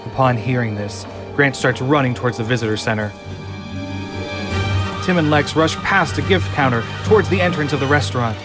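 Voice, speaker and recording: A man reading scripted narration for an audio drama in a measured, often slow and hushed way. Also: mid-range voice. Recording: clear music underneath.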